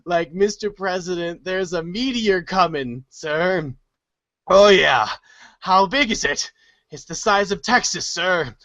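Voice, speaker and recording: Sardonic young guy played by a man, rambling comic monologue, highish voice, sarcastic, close dry recording. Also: sharp tone, no room sound, full character voice. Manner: sarcastic, acting out mock dialogues. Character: sardonic, rambling young guy mocking disaster-film clichés. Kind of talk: comic monologue in a read-along storybook show.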